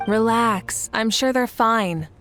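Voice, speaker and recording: A woman playing a calm, assured warrior ruler in a video game, measured and reassuring. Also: a little high. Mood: amused, reassuring. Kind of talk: video game character acting. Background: game music.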